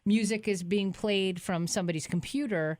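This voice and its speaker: A woman's voice: mid-range voice, normal speed, a little mellow, no room sound. A woman co-hosting a radio show, chatting casually in a teasing way. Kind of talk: casual chat.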